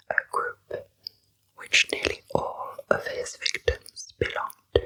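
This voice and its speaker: A woman narrating true crime in ASMR style, in a hushed, breathy whisper with deliberate storytelling. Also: rough recording. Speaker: narrator, ASMR channel host.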